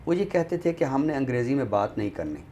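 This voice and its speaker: Deliberate Pakistani man, some background noise. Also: medium pitch, very animated, warm tone. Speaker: guest commentator on a literary show. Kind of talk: thoughtful spoken discussion.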